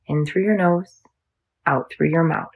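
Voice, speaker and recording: A woman teaching yoga nidra, guiding a meditation in a soothing, measured, instructional manner. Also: deep voice, breathy edge. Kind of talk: guided meditation.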